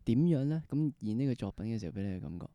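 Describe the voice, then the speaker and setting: Mellow Hong Kong Cantonese man, dry sound. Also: medium pitch, animated, very fast, dry recording. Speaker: podcast interview host. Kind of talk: casual conversational interview.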